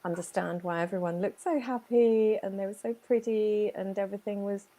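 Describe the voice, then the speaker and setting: A woman's voice: mid-range voice, fairly even intonation, dark tone. A woman from Chile with a Chilean Spanish accent, telling her life story earnestly in an interview. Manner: earnest, emphatic storytelling with dramatic pauses. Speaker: guest business owner.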